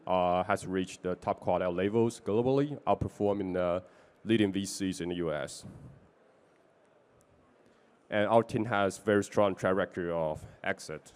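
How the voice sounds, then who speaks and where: A man from Taiwan with a Taiwanese accent presenting his investment fund in a business pitch, with small non-native grammar slips. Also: a little mellow.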